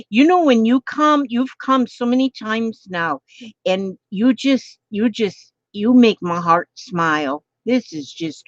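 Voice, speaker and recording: A woman hosting a live crafting stream, talking softly with her viewers and gasping over pretty papers. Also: fairly high pitch, dry recording, muffled recording. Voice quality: gentle voice. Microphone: phone.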